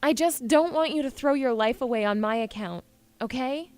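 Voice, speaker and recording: A woman's voice: sits high, moderate pace, darkish tone, no echo, soft background music, close to an everyday voice. A woman playing a brave, fiery young princess in an audio drama, with emotional, exclamatory delivery. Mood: earnest.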